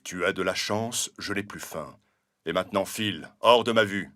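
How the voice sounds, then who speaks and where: A man playing a proud, gruff lion king in an animated fable, with a haughty, commanding manner. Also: sits low, normal speed. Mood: dismissive. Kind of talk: animated fable character acting.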